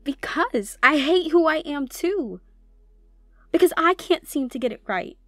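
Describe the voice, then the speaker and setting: A young woman playing a troubled tomboy goth college girl, shy and softly teasing, in an intimate ASMR roleplay with hesitant delivery and whispered asides. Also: high-pitched, lively intonation, measured pace, dry recording, soft background music. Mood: distraught, self-loathing.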